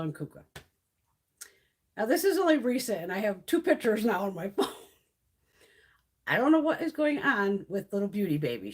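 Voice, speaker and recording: A woman's voice: medium pitch, husky. A middle-aged woman from Connecticut hosting a beauty live stream, telling stories in a casual chat, swearing freely and laughing often, her voice starting to wear out. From Connecticut, USA.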